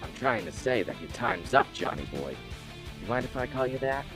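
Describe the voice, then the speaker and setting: A man's voice: medium pitch, very animated, moderate pace, over music, close to an everyday voice. A man playing a smug, menacing gang boss in an audio drama, taunting and condescending as he draws out his words. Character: smug, menacing male boss of a hit squad.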